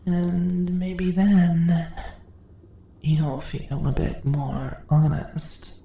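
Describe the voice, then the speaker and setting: A woman voices a predatory spider-woman in a fantasy ASMR roleplay, very slow and creaky. She is dominant and seductive, toying with her prey in teasing purrs and whispers. The sound is roomy and echoing. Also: low-pitched, mellow, exaggerated voice. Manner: teasing, purring, slow, often dropping into whispers. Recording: roomy echo, faint background noise.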